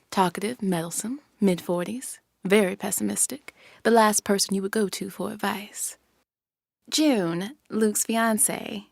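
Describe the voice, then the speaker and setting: A woman delivers scripted, list-like character introductions. Her mid-pitched voice has plenty of creak. The recording is dry and free of room sound. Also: no room sound. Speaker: announcer reading cast descriptions.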